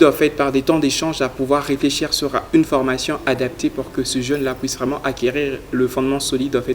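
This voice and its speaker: Earnest young man, flat even delivery. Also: mid-range voice, a little hiss or hum. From Congo. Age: young adult. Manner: earnest, speaks in a flat even tone with frequent fillers. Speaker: guest, youth leader. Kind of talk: studio interview answers.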